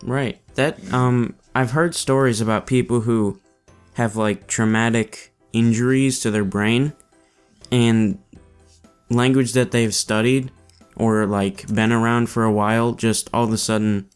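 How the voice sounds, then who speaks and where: A man's voice: medium pitch, fairly even intonation, unhurried, smooth, soft background music. A man hosting a casual podcast chat, musing with curiosity about ideas. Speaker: podcast host.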